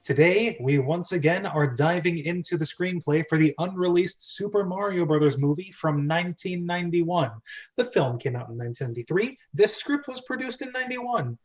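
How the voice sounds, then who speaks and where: A man's voice: a little high, lively intonation, normal speed, highs cut off. A man hosting and narrating a script read-through, chatty and jokey with a theatrical delivery.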